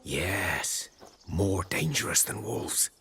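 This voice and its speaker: An actor playing a wary, courteous village huntsman in a fantasy game, speaking in a folksy, halting manner. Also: low-pitched, expressive, sharp tone, slight background noise, exaggerated voice. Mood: wary, subdued. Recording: birdsong and outdoor ambience behind.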